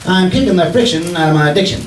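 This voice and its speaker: A man's voice: sits high, animated, quick pace. Blustery folksy husband played by a man, slangy and mock-pompous, old-time radio comedy, distant mic in a roomy hall. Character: blustery, cocky, folksy husband, bad at grammar. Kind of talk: 1940s radio comedy acting. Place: theater stage before an audience. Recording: echoey live stage, distant mic, noise.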